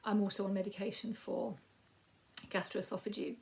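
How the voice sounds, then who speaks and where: A woman in her fifties with a Yorkshire accent and a croaky voice, giving a rambling, casual crafting update with frequent ums. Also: medium pitch, little pitch movement, moderate pace, a little mellow, a little hiss or hum. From Yorkshire, England. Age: 50s. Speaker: crafting podcast host.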